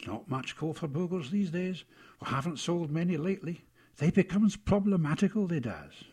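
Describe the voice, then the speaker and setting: A man's voice: a little high, lively intonation, moderate pace, a little mellow, slightly rough, pitched above the performer's own voice. A man voicing a tall, thin, cheerful rustic bogle in a children's story, with folksy, ungrammatical speech. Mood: cheerful, eager.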